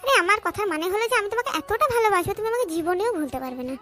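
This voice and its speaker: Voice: very high-pitched, very animated, racing, bright, forceful tone, soft background music, full character voice. A performer voicing a brash, flirtatious female cartoon dog who sweet-talks in gushing, speech. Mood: coaxing, romantic. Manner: gushing, cajoling, coarse colloquial talk. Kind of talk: comic cartoon dub, melodramatic romance.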